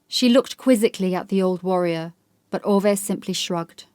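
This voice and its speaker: Female narrator for dark historical fiction. Mid-range voice, serious, measured scripted storytelling. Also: mid-range voice, no room sound, studio quality. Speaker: audiobook narrator.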